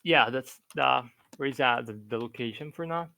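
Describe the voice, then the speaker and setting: A man's voice: medium pitch, moderate pace, creaky, bright, forceful tone, dry recording. A man from Barbados chatting casually as a class participant, speaking in a hesitant way and repeating himself. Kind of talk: casual chat.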